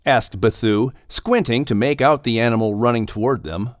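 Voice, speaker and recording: A man working as announcer and narrator, giving animated scripted storytelling for children. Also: medium pitch, normal speed, no room sound, studio quality.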